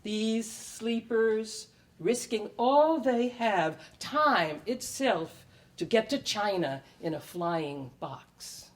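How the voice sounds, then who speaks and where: A woman reading her own writing aloud at a live event, with measured, expressive delivery. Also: medium pitch. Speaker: writer and performer in an ensemble. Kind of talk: live poetry reading with spoken introduction. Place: public library event before an audience.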